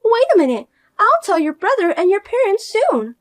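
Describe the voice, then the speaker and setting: A woman voicing a spoiled, arrogant sister-in-law who talks down to everyone in a shrill, scolding way. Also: very animated, normal speed. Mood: indignant. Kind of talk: audio story voice acting.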